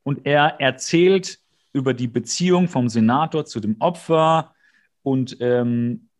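A man's voice: mid-range voice, slow. A male podcast co-host casually recapping and bantering, with a sarcastic, mock-exasperated way of talking.

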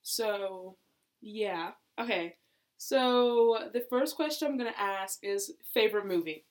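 A woman's voice: medium pitch, takes it slowly. An eighteen-year-old girl from Georgia in the United States, co-hosting a casual podcast and talking with lots of hesitant fillers. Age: teens. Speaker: podcast co-host. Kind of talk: casual chat.

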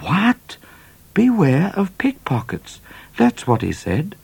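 A naive, eager teenage bushranger, put on by a man reading aloud. He speaks earnestly in a raised, boyish pitch. The storybook tone stays light and lively. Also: a little slow, gravelly, breathy edge, heavily acted. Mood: puzzled. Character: seventeen-year-old boy bushranger, naive and eager.

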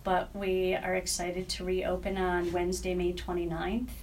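Friendly woman guest, lower-pitched and distant. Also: completely flat delivery, moderate pace, vocal fry, a little mellow, slight background noise. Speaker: guest, executive director of a charity. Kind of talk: interview answers.

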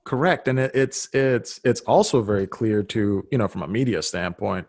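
Low-voiced host, Serbo-Croatian native speaker. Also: male voice, normal speed, a little mellow, dry recording. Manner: earnest, thinks aloud with restarts. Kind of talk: casual conversation.

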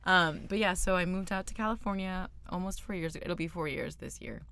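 Low, gravelly woman co-hosting a podcast. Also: a little fast, fairly bright tone. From Venezuela. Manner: laughs often, many ums. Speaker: podcast co-host. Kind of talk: casual chat. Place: California.

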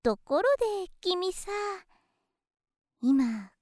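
Very high-pitched, flirty nurse. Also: female voice, sing-song, a little mellow, no echo, clean studio sound, full character voice. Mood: teasing, coy. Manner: cutesy, teasing, coaxing, unhurried. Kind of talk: Japanese situation voice drama, close one-on-one address.

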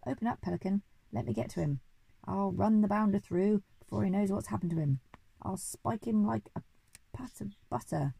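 A woman voicing an excitable old aristocratic Duke in a storybook, with pompous, old-fashioned exclamations. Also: medium pitch, normal speed, vocal fry, breathy edge, no room sound, low-quality recording. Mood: bellowing, furious.

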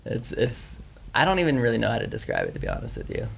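Gravelly, laid-back male host. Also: medium pitch, wildly swooping pitch, quick pace, a bit bright. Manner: laid-back, lots of "like" and "you know". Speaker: podcast host. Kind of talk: casual interview chat.

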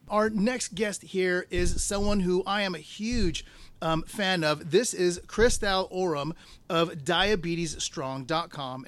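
High-voiced, upbeat male podcast host. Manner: upbeat, filler-heavy, salesy. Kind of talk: casual interview and promo.

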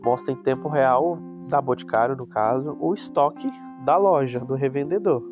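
A man's voice: medium pitch, moderate pace, lo-fi sound. A man with a Brazilian Portuguese accent and a stuffy nose from a cold, chatting casually and rambling to his viewers about reselling cosmetics. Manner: chatty, rambling, with asides to the audience. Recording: music playing in the background.

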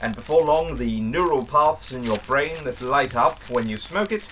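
Man acting a lively-pitched, earnest occult mentor. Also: normal speed, slight background noise, natural voice, poor audio. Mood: earnest, explaining. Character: male occult investigator mentor, cryptic, earnest, slightly awkward. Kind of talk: audio drama dialogue.

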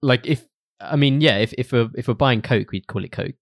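A British man talks casually on a podcast, thoughtful and a bit hesitant, restarting often. His voice has a gravelly edge, recorded dry and close. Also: mid-range voice, a little fast, no echo. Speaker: podcast co-host.

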